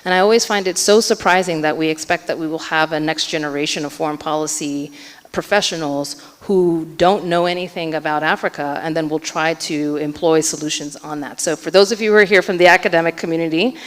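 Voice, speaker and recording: Keynote speaker, woman with a low voice, earnest, filler-heavy delivery, in a hall with long reverb. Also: steady tone, fairly quick. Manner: earnest, hedging with frequent fillers. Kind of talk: conference panel answer. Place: conference hall.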